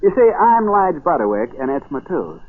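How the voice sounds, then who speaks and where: A bashful but stubborn farmer in a vintage radio play. His husky voice rises and dips widely as he rambles hesitantly in a folksy way. The broadcast sounds narrow and muffled. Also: a little high, sing-song, normal speed. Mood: bashful, insistent. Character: shy, stubborn, naive New England farmer. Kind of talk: 1940s radio acting.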